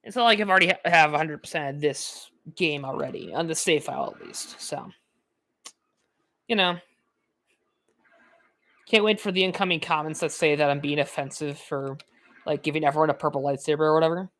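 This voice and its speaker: 19 yo woman gamer rambling over gameplay, kinda low voice, lots of likes and laughs. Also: fairly quick, pauses often, fairly bright tone. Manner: chatty, filler-heavy, laughs often. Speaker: gaming YouTuber. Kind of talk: rambling let's-play commentary.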